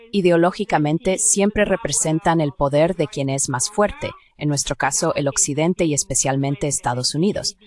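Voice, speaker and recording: Low-pitched woman explaining as an expert. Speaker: interview guest, academic expert.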